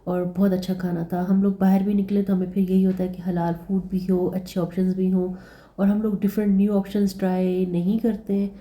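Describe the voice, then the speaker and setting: A woman vlogging in a chatty way, hoarse from a sore throat, repeating words and slipping English phrases into her talk. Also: medium pitch, warm tone. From Pakistan. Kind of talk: casual vlog narration.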